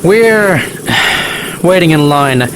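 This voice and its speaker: A man's voice: on the high side, lively intonation, extremely slow, noisy background. A man playing a tense, blunt private-plane pilot in an audio drama, speaking tersely. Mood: frustrated, tense. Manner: terse, radio jargon. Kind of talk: naturalistic audio drama acting.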